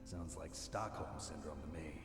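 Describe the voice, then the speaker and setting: A man playing a gruff, blunt, impatient captive soldier in a video game, with a gravelly voice. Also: extremely low pitch, moderate pace. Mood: grim. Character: gruff, commanding male soldier held captive. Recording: echoey cell reverb under loud music.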